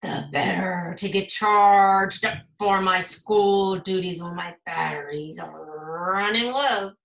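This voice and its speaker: A woman's voice: on the low side, slow, creaky, fairly bright tone, voice pushed down, heavily acted. A woman voicing a sinister, growly wolf pencil sharpener that poses as a principal in a children's storybook. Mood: sly. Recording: roomy echo.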